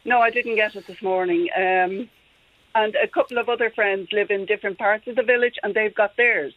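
A woman's voice: mid-range voice, fairly even intonation, brisk, dry recording. An Irish woman from County Meath calling into a radio show, giving short plain answers. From Ireland, County Meath. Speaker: caller. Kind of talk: call-in radio chat. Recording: tinny phone line.

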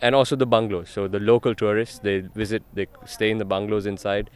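Sri lankan guy being interviewed, low smooth voice, just explaining stuff conversationally. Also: level tone, fast. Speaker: ecotourism company representative, interview guest.

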